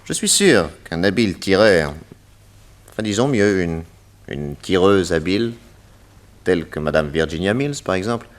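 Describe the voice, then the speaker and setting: A shrewd gentleman detective in a radio mystery play. His voice sits fairly low and swings widely in pitch. He is courteous and confident, setting out each point with deliberate flourish. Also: animated. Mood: assertive, explanatory. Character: shrewd, theatrical male detective, confident and probing.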